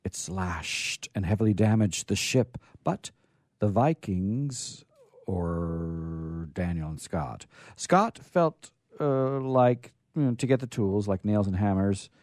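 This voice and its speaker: A man hosting a radio show, reading stories aloud in an informal, halting but theatrical way. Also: lowish voice, lively intonation, a little mellow, no echo, clean studio sound. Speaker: radio show host and storyteller.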